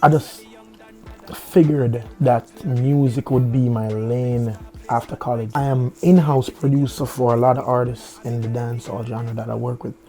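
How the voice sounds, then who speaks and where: Producer guy, gravelly mellow voice, laid back talk, some music behind. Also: medium pitch, normal speed. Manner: relaxed, loose slangy talk. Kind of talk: casual interview.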